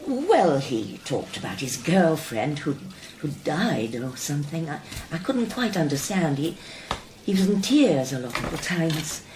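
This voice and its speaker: Low-voiced kindly older woman. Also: sing-song, measured pace, background noise. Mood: hesitant, concerned. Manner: homely, hesitant. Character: kindly, modest older female house guest. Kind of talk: naturalistic radio drama acting.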